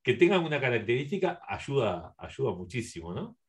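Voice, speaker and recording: A man's voice: medium pitch, sing-song, normal speed. A man with a Uruguayan accent hosting a casual radio interview, rambling his way around his questions.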